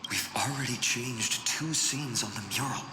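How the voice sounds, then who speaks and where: A man voicing a steady, earnest young hero in a fantasy game, composed and purposeful. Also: medium pitch, a little slow, close to an everyday voice. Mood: composed resolve. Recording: echoey hall, noisy ambience.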